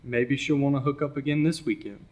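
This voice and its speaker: A man's voice: medium pitch, steady tone, a little fast, a little mellow, natural voice. A young man playing a cocky, oblivious college student in a readers theater monologue, talking in casual slang. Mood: careless. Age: young adult.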